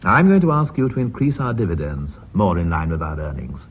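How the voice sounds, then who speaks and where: An actor playing an authoritative, coolly confident British company chairman in a radio drama, speaking in a measured, formal, drily ironic way. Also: sits low, expressive, slightly rough. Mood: formal, gracious. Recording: muffled vintage radio drama recording.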